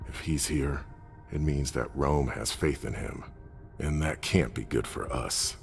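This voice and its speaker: A cautious, uncompromising vampire strategist, with an extremely deep voice full of creak. He is blunt and matter-of-fact in dramatic game cutscene style, with music underneath. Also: unhurried, full character voice. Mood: grim.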